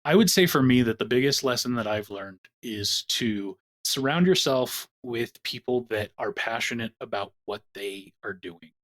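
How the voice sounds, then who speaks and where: Brief: male producer, mid pitch, earnest, reflective. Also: animated, moderate pace, fairly bright tone. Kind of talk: interview answers.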